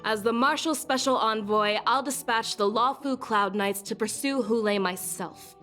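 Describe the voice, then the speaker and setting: A woman voicing a composed, confident military envoy in an anime game, speaking in a formal, measured, authoritative way. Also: fairly high pitch, sharp tone, soft background music. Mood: resolute.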